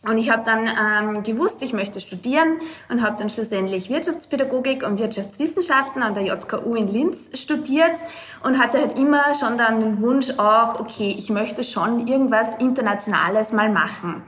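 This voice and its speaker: A young woman from Lower Austria with an Austrian accent, telling her story as a podcast guest over a video call, with many hesitations. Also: normal speed, fairly bright tone. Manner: hesitant, many filler sounds. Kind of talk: conversational storytelling.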